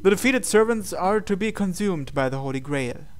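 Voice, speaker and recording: Clear, higher-pitched man narrating a game. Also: lively intonation, normal speed. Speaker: host reading a game aloud. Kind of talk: dramatic narration of visual novel text.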